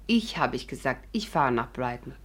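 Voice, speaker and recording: A woman's voice: rumbling low, animated, moderate pace, a bit bright. A woman playing a witty, sceptical, spirited young stage actress in a 1950s German radio drama. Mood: sceptical, teasing.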